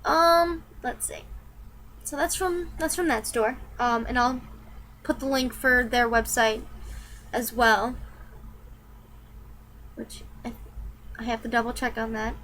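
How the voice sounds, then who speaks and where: A woman's voice: a little high, steady tone, slow, long pauses, a bit bright, a little hiss or hum, rough recording. Chatty young woman. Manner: chatty, rambling, lots of ums and likes. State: a little sick and nasal. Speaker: vlogger. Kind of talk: casual product review vlog.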